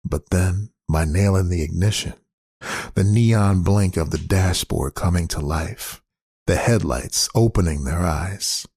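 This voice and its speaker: A man with a low, rough-edged voice reads poetry aloud. He is measured and deliberate, and the recording is very dry and close. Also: darkish tone, no echo. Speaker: poetry reader. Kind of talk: literary poetry reading.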